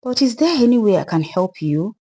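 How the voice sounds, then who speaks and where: A woman's voice: mid-range voice, very animated, brisk, mellow, slightly rough, pitched above the performer's own voice. A woman voicing a sympathetic, cautious working man in a folktale, with a Nigerian accent. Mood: concerned. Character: sympathetic, cautious male worker Eze.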